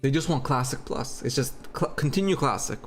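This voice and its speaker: A man's voice: mid-range voice, brisk, soft background music. A man with an Australian accent chatting informally as a livestream host, with some swearing. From Turkey and Australia. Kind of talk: casual livestream chat.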